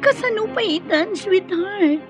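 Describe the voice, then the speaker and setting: A woman's voice: very high-pitched, lively intonation, measured pace, soft background music. A woman acting in a radio drama as an anxious, plaintive sweetheart weighed down by debt. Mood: worried, plaintive. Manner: fretful, plaintive. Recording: dull muffled radio drama recording.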